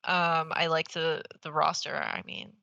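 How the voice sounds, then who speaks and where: A woman's voice: low-pitched, little pitch movement, measured pace, gravelly, sharp tone, no echo, highs cut off. A young woman co-hosting a gaming podcast in casual, slangy chat, swearing freely and teasing playfully. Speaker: podcast co-host.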